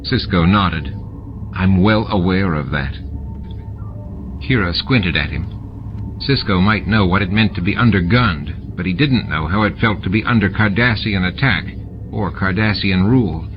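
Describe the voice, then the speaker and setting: A man's voice: deep voice, animated, moderate pace, muffled recording, background noise. A man reading a novel aloud as scripted, dramatic audiobook narration. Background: music and sound effects added to the reading.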